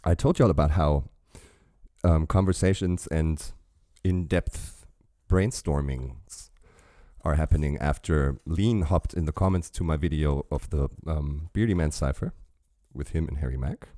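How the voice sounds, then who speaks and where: A man's voice: extremely low pitch, a little slow, mellow, dry recording, clean studio sound. A man hosting a reaction channel, rambling casually with chatty asides while sipping a drink.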